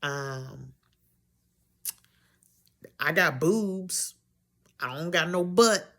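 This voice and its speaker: Young woman, low voice, unhurried, candid vlog talk that wanders and self-corrects. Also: animated, a bit bright. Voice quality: deep voice for a woman. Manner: rambling, loses her train of thought, self-correcting. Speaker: vlogger. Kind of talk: candid personal talk to camera.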